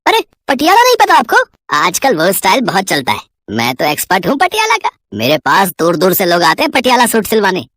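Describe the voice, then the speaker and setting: A woman voicing a lecherous, sly male tailor in a cartoon story, smooth-talking, boastful and wheedling. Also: mid-range voice, huge pitch swings, brisk, hardly any pauses, fairly bright tone, dry recording, studio quality, pitched below the performer's own voice. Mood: oily, boastful. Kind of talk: exaggerated cartoon story voice acting.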